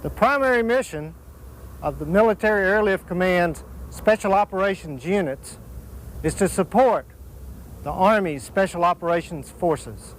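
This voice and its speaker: American male, notably high voice, formal air force instructor reading scripted material, slight background noise. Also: expressive, moderate pace, low-quality recording. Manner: formal, enunciating lists and designations. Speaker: military air force presenter.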